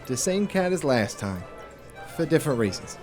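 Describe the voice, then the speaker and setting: A man playing a hardboiled private investigator in a noir audio drama, terse and deadpan, with music behind him. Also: fairly high pitch, moderate pace. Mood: dry, probing. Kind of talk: noir radio drama with first-person narration.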